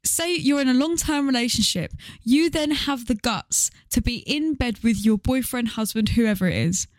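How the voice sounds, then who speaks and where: A woman's voice: on the high side, normal speed, dry recording. A young woman co-hosting a podcast, chatting casually in a playful, questioning way. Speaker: podcast co-host. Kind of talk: casual chat, reacting to stories.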